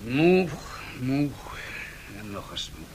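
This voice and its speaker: Gravelly, self-assured tycoon Ivar. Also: male voice, mid-range voice, sing-song, slow pace, darkish tone. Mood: weary. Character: weary, defiantly confident male match-industry tycoon. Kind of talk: 1970s radio drama acting. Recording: noisy vintage radio recording with hiss.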